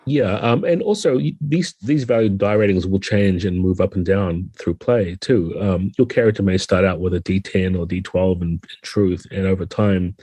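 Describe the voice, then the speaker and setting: Deep, mellow man explaining things. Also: normal speed, hardly any pauses, breathy edge, no echo. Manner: thoughtful, methodical explaining. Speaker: podcast guest, game designer. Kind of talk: explanatory interview talk.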